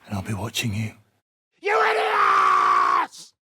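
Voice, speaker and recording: A man playing a gruff, foul-mouthed, menacing mercenary in a video game, with a gravelly, raspy voice and a coarse, swaggering, sardonic manner. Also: lowish voice, wildly swooping pitch, takes it slowly, pushed and bright, raised voice, slight background noise, full character voice. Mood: gruff, grudging, then shouting, enraged. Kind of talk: video game character acting.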